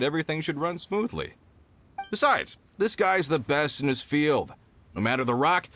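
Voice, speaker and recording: A man's voice: medium pitch, a bit bright. A man voicing a hearty, shrewd middle-aged mining foreman in a video game, with a folksy, avuncular manner.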